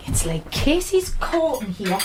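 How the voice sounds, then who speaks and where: Over clear background noise, a sharp-tongued Geordie mother in a radio play. Her voice is very high and swoops animatedly as she scolds like a working-class mam. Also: big pitch swings, measured pace. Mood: scolding. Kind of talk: radio drama acting. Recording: clearly noisy domestic scene.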